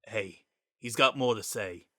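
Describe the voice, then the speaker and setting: A man performs an informal, slangy wisecracker in a dramatic audiobook story, his voice bright and forward. He is a grieving, desperate sort of guy, raw with feeling under the easy talk. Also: on the low side, a little slow, no room sound, clean studio sound. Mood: weary, subdued. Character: Sans, a wisecracking man pleading desperately, worn down by grief.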